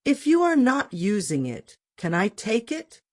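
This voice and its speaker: A woman's voice: a little high, big pitch swings, measured pace, no room sound, studio quality, voice pushed up. A woman voicing a curious, teasing young female cousin in a folktale, eager and inquisitive.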